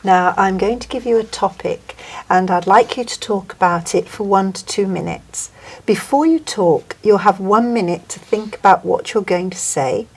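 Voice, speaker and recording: A woman examiner asking scripted questions in a measured, formal, polite way. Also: medium pitch, a little mellow, breathy edge.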